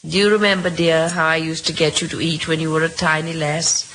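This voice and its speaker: A woman's voice: deep voice, fairly even intonation, fairly quick, fairly bright tone. An actress playing an imperious, fussing older mother-in-law in an old radio drama, coaxing and commanding. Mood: coaxing, insistent. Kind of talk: 1940s-50s radio acting. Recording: noisy old radio recording.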